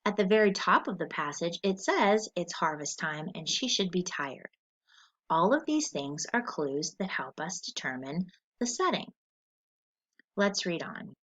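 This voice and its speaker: A woman teacher reading a story aloud in an expressive, deliberate, instructional way, as for a lesson with questions. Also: lowish voice. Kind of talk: scripted story read-aloud with comprehension questions. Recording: dull lo-fi recording.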